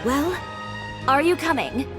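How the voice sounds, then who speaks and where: A woman playing a cool, proud, sharp-tongued young fashion designer in an anime video game, composed and curt, over music. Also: sits high, expressive, slow. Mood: cool resolve. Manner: composed, curt, self-assured.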